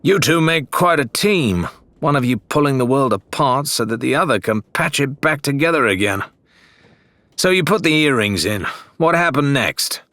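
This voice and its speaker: Narrator doing a sardonic pirate-story guy, exasperated, pitch jumps about, emphatic, dry sound. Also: expressive, moderate pace, sharp tone, no echo. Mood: wry exasperation. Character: wry, exasperated man in a pirate adventure story.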